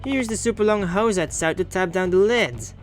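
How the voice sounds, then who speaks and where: A man's voice: high-pitched, a little fast, faint music behind, voice pushed up, natural voice. A man voicing a determined young accuser in a visual novel, speaking with indignant, dramatic conviction. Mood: confident reasoning.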